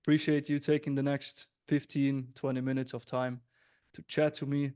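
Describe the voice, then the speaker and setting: A young man from Switzerland hosts a casual interview with his voice at an average pitch. He sounds upbeat, friendly and direct, turning to address the listeners now and then. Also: flat delivery, moderate pace, a little mellow, smooth. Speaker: host, business coach.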